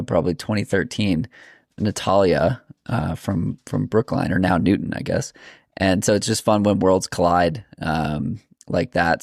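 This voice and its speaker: A man's voice: deep voice, gravelly, a little mellow, dry recording, studio quality. A man hosting a podcast, interviewing his guest in a casual, conversational style.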